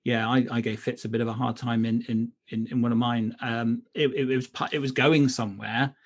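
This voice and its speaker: A man's voice: mid-range voice, little pitch movement, brisk. A middle-aged man, a writer being interviewed, talking casually about his craft and often circling back to rephrase.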